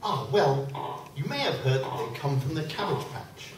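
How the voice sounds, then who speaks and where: A man's voice: fairly high pitch, lively intonation, normal speed, close to an everyday voice. Man playing an echoey, patronising eccentric doctor. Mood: obliging. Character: eccentric male doctor, smug, patronising, sourly misogynistic. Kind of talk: comic radio play acting before an audience. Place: convention room, live stage performance. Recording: echoey distant live room recording, background noise.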